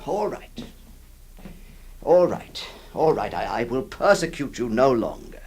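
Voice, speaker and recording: A man's voice: medium pitch, sing-song, a little slow, darkish tone, a little hiss or hum. A man voicing an exasperated lawyer who pleads and stammers with his stubborn clerk in an audio drama. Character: exasperated middle-aged male lawyer employer.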